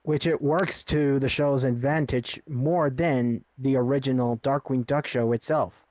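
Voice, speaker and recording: A man's voice: medium pitch, steady tone, a little slow, a little mellow, dry recording. Man in casual chat, very dry sound.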